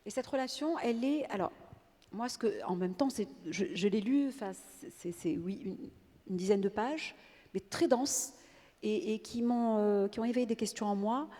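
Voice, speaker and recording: A woman's voice: medium pitch, normal speed, mellow. A woman from Tunisia, moderating a panel in a reflective, hesitant, questioning way. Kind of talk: panel discussion. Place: large theatre on stage. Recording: long theatre reverb.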